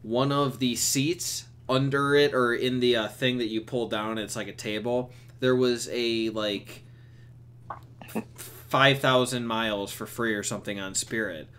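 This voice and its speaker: A young man chatting and telling stories casually on a voice chat, in an animated, exasperated, sweary way. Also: mid-range voice, unhurried, clean tone. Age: young adult. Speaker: streamer on a group voice chat. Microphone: computer mic on a voice chat. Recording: noisy voice chat with clicks.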